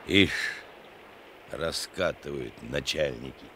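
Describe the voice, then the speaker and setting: An actor playing a menacing, hardened criminal killer in a radio drama, sneering and threatening. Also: male voice, extremely low pitch, slow, bright, forceful tone, a little hiss or hum, exaggerated voice.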